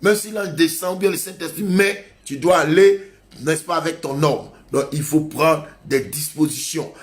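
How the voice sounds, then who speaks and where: A man preaching in a fairly high voice, driving his points home with insistent, repeated exhortations. He has the steady, earnest push of a pastor addressing his congregation. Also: normal speed. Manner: emphatic, repetitive exhortation. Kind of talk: sermon.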